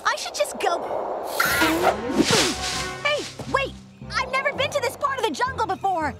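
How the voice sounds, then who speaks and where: A woman's voice: very high voice, lively intonation, normal speed. An actress playing an anxious, self-pitying young woman scientist in a cartoon, with a dramatic, exasperated delivery. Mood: wary. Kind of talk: exaggerated cartoon voice acting. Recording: loud music underneath.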